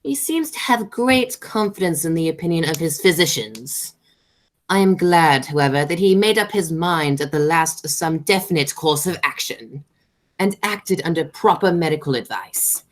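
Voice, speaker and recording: A woman's voice: low voice, expressive, moderate pace. A woman playing a haughty, stern aristocratic mother and aunt in an amateur radio play, declaiming with snobbish authority.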